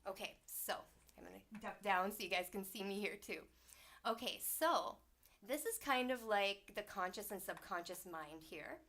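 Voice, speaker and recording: A woman hosting a livestreamed spiritual talk from her small home studio. She speaks in a soft, soothing, unhurried way and sounds slightly congested from a cold. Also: medium pitch. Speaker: host and sound healer.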